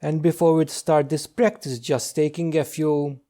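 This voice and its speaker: A man leading a guided meditation as a teacher, speaking gently and slowly with long pauses. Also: on the high side, level tone. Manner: slow, hushed, gentle delivery with long pauses.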